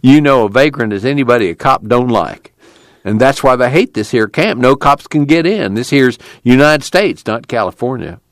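A man's voice: medium pitch, expressive, normal speed, dry recording, clean studio sound, pitched above the performer's own voice, natural voice. A man voicing a tubby, outspoken migrant worker in a storybook, blustery and salty with folksy rural speech. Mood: knowing.

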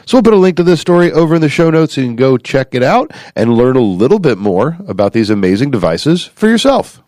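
A man hosting a podcast in a relaxed, chatty way, with filler words and repeats. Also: medium pitch, quick pace. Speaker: podcast host.

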